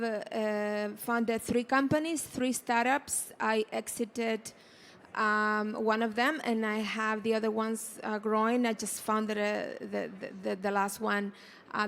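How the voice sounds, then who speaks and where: Chilean-born woman founder, flat halting delivery, faint ambience. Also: medium pitch, a little hiss or hum. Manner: hesitant, restarts phrases. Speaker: startup founder on a panel. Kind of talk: panel discussion. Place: conference stage.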